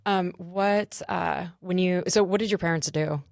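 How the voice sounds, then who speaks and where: A woman's voice: on the low side, steady tone, creaky, darkish tone, no echo, clean studio sound. A woman from Germany hosting a podcast, chatty and reactive, often saying how interesting things are. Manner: quick, chatty, says "interesting" a lot. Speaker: podcast host. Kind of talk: casual interview chat.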